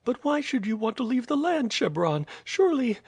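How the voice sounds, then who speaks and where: A high, pleading young girl, tender and easily frightened, as a male reader puts her on. Her voice sits far above the reader's own and is close and dry, with no room around it. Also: big pitch swings, moderate pace, husky, no echo, full character voice. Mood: distressed. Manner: pleading, emotional. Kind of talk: audiobook reading.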